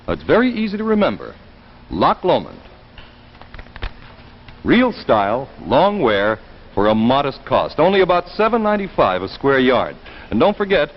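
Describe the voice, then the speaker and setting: A male 1950s announcer delivers a folksy, scripted sales pitch. His mid-pitched voice moves up and down animatedly, and the old broadcast sounds muffled. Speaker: sponsor announcer.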